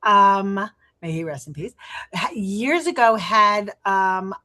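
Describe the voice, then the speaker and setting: A woman's voice: medium pitch, slow, bright, forceful tone. A woman, a participant on a group video call, chatting in a rambling way with many fillers. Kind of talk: casual chat.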